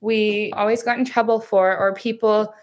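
A woman in her thirties, a hypnotherapist, talking as a podcast guest, chatty and upbeat, with a soft, soothing voice and frequent laughs. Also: medium pitch, fairly even intonation, normal speed. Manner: talkative, upbeat, laughs often. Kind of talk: casual interview chat.

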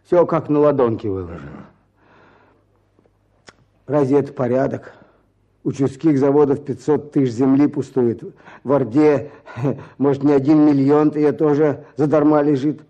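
An actor playing a weary, stubborn peasant petitioner back from prison, speaking plaintively in halting rustic phrases in a radio drama. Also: male voice, medium pitch, warm tone, lo-fi sound, close to an everyday voice. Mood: earnest recounting. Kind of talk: Russian radio drama acting.

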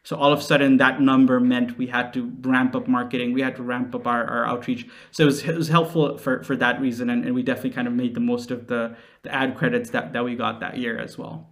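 A young man from Nepal speaks as an interview guest at a middling pitch. He explains things earnestly, in an easy conversational style, as a social entrepreneur would about their work. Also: flat delivery, fast talker, a bit bright. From Nepal, raised in several countries. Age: late 20s.

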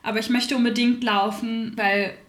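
A woman's voice: fairly high pitch, little pitch movement, normal speed, reverberant, close to an everyday voice. A woman voicing an outspoken young mother recounting her birth, in a theater radio play monologue. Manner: forceful, outspoken.

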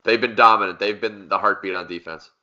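A man hosts a casual sports chat in a slightly low, bright and forceful voice. He talks as if among friends, on a somewhat muffled recording. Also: fairly even intonation, fairly quick. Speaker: sports podcast host. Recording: dull, band-limited podcast audio.

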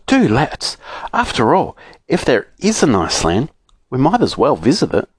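An eager little storybook girl, played by a male reader, pitched high. The child speaks in bright exclamations with big swoops of pitch. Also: huge pitch swings, normal speed, slightly rough, no echo. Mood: pleading. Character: excitable young girls from a children's storybook.

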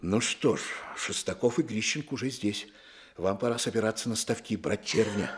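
An actor playing a middle-aged police chief in a radio play, fatherly yet authoritative, moving between soft words and firm orders. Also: lowish voice, moderate pace, close to an everyday voice. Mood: pleased, fatherly. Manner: authoritative, swinging from soft to commanding. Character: fatherly but commanding male police chief.

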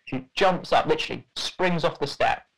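A man's voice: fairly high pitch, normal speed, vocal fry, a bit bright, low-quality recording. A young man telling a story in casual podcast chat, rambling with lots of fillers and restarts.

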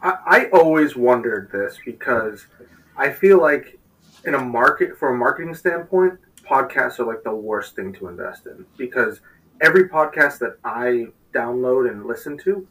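A man's voice: mid-range voice, lively intonation, moderate pace, a bit bright. A man co-hosting a podcast in casual conversation, recorded at some distance from the microphone. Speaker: podcast co-host. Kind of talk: casual chat. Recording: distant from the mic.

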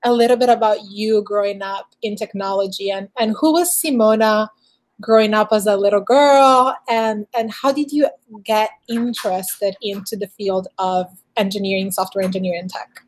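A woman's voice: medium pitch, moderate pace. A woman hosting an interview, asking long, rambling questions with many fillers. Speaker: podcast host.

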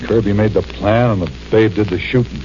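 A wary, blunt mob tipster, played by a man, his voice fairly low and his slang plain. The old radio transcription is muffled, with faint hiss. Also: fairly quick, darkish tone, natural voice. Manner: low, blunt gangster talk. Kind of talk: 1940s radio drama acting.

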